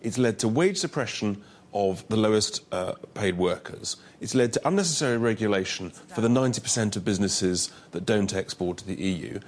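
A man's voice: lowish voice, lively intonation, fairly quick. Man, political guest, emphatic, insistent. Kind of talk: political interview clip.

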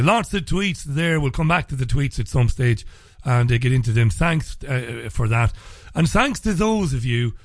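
A man hosting a talk radio show, speaking casually with a slightly croaky, dry voice and frequent fillers and restarts. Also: mid-range voice, no room sound.

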